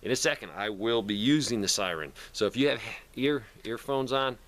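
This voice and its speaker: Bright, forceful man chatting casually. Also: mid-range voice, animated, moderate pace. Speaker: prepper video host. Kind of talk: casual product talk to camera.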